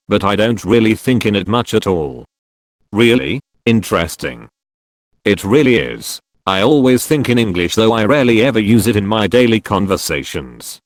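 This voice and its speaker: A man reading online comment threads aloud as scripted narration, steady, with dips on short replies. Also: lowish voice, dry recording, clean studio sound. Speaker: narrator reading forum posts.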